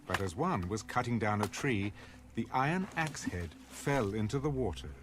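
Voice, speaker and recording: An actor as a solemn storyteller, giving scripted dramatic narration of scripture. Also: male voice, on the low side, lively intonation, moderate pace, noisy background, lightly acted. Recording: clear music under the voice.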